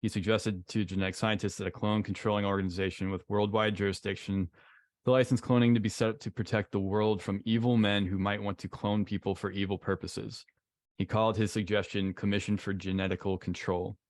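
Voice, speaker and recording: Deep-voiced relaxed man. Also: no echo. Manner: relaxed, halting, says "like" and "basically" a lot. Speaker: podcast co-host. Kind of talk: casual webinar talk and slide reading.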